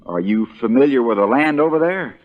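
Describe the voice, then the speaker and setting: An actor playing a shrewd, folksy American country lawyer, relentless in questioning, in the style of 1940s radio drama. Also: male voice, medium pitch, animated, a little fast, phone-quality sound, close to an everyday voice. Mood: dry, folksy. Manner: drawling folksy understatement that builds into hammering accusation.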